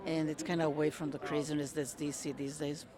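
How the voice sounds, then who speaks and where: Woman from Croatia, measured speech. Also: sits low, flat delivery. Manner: measured, frequent ums and uhs. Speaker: interviewee, retired federal worker. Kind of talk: conversational interview answers. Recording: crowd chatter behind. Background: crowd chatter.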